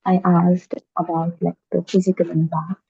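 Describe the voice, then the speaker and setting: A woman asking questions on a video call, polite and quick to thank the speaker. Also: fairly low pitch, flat delivery, gravelly, warm tone, husky, dry recording. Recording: dry tinny call audio.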